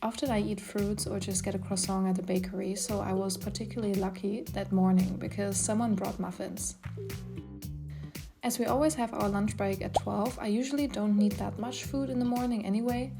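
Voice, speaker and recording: A woman's voice: medium pitch, moderate pace, vocal fry. Young woman narrating over music. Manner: relaxed, chatty narration. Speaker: vlogger, consultant.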